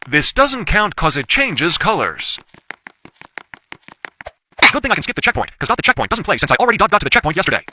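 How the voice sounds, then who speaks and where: Male gamer with a lively, higher-pitched voice. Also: a little fast, long pauses, slight background noise. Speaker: gaming video creator. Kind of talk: casual gameplay commentary.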